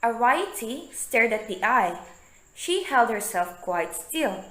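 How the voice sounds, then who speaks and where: A woman narrating a story in a measured, hushed way for a reader's theatre. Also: on the high side, big pitch swings. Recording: echoey room.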